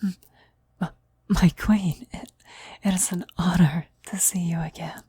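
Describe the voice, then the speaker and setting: Woman acting a breathy, whispering devoted cultist. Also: on the low side, fairly even intonation, dry recording. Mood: reverent, eager. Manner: reverent, deliberate, hushed. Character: devoted female cultist, eerily reverent servant of demon queen. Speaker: voice actor in an audio roleplay. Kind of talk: whispered ASMR roleplay acting.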